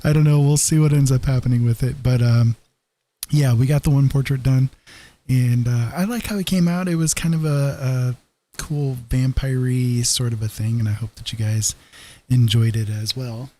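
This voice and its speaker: A man with a mellow, relaxed tone hosts a livestream. He chats casually, musing aloud in an easygoing manner at an average pitch. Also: moderate pace. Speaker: livestream host.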